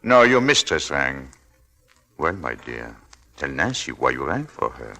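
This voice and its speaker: A man's voice: rumbling low, sing-song, a little slow, creaky, bright, forceful tone, full character voice. A man playing a suave, manipulative Victorian husband in 1940s radio style, needling with silky, pointed questions. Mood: coldly commanding.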